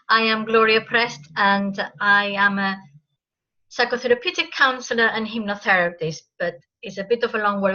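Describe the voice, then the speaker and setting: Bright-voiced woman on a muffled call. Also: mid-range voice. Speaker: counselor and hypnotherapist. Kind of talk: networking self-introduction. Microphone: video call. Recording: muffled video call audio.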